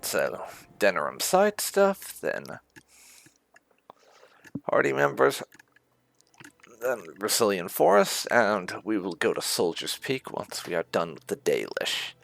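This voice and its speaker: A man's voice: lowish voice, huge pitch swings, takes it slowly, creaky, long pauses, a bit bright. A man giving casual commentary as host of a game playthrough. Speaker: gaming video host.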